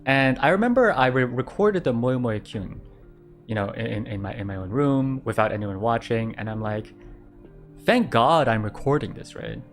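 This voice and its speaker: A young man chats casually on a livestream in a quiet voice that doesn't carry far. He rambles, repeats phrases and breaks into laughter as he talks. Faint music plays underneath. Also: medium pitch, lively intonation, normal speed. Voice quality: quiet voice that does not project. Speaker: streamer.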